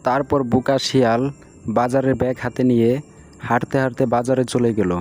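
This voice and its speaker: A man's voice: medium pitch, level tone, brisk, darkish tone. A man narrating a scripted cartoon story as its storyteller.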